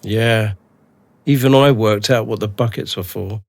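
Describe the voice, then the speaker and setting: A man's voice: fairly low pitch, a little slow, dry recording. An actor playing a suspicious, sarcastic male captive in a radio drama, sounding uneasy. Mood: gloomy, sarcastic. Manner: sardonic, uneasy. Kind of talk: naturalistic radio drama acting.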